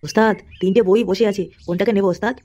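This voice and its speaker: A woman's voice: medium pitch, steady tone, racing, dark tone, voice pushed down, close to an everyday voice. A woman voicing an eager, cheeky male henchman in a cartoon who fawns on his boss and giggles. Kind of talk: cartoon voice acting.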